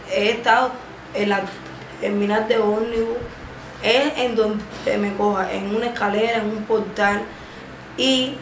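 A young woman in her twenties with a Cuban accent, speaking haltingly with a stammer and many drawn-out fillers while giving personal testimony in an interview. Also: mid-range voice. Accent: Cuban Spanish. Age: 20s. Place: small indoor room, seated. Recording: echoey room with background noise.

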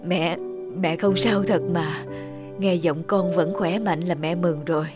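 A woman voicing a worried, self-sacrificing elderly village mother in a cartoon, gentle and plaintive in manner. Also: on the low side, fairly even intonation, unhurried, over loud music, lightly acted. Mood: frail, reassuring. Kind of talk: animated moral tale voice acting.

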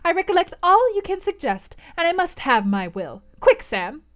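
Woman doing a bossy stuck-up young lady, high and loud, snapping orders. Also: sing-song, moderate pace. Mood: imperious. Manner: imperious and commanding. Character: haughty, willful young aristocratic woman.

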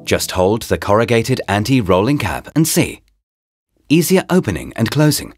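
A man reading a scripted promotional voice-over in short, deliberate, presentational phrases, as a product narrator. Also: lowish voice, big pitch swings, no echo. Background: background music.

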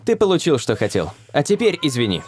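A man's voice: medium pitch, huge pitch swings, brisk, darkish tone, dry recording. A man playing an earnest, determined young footballer hero in a cartoon dub.

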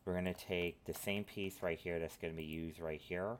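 A man with a cold, sniffling as he talks through a software modeling tutorial at his computer, rambling with lots of filler phrases. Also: low-pitched, steady tone, normal speed, a little hiss or hum. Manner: rambling, filler-heavy instructions. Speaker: tutorial host. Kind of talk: screen-recorded software tutorial.

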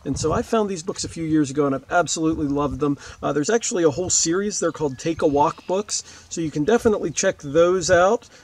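A man's voice: fairly high pitch, fast, few pauses, darkish tone. A man in his forties, a teacher, reading aloud expressively to children outdoors and explaining as he goes. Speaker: fourth-grade teacher. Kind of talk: read-aloud lesson for children, with asides. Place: outdoors beside a wetland lake.

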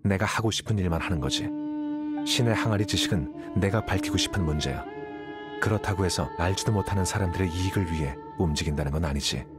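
An actor playing an aloof, rational young scholar in an anime game, coolly self-assured, speaking in a measured, matter-of-fact way. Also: male voice, low-pitched, over loud music, natural voice. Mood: detached, blunt.

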